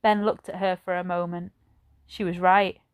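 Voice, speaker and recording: A woman reading a children's story aloud like a teacher, with measured, expressive phrasing. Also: lowish voice, clear, resonant tone.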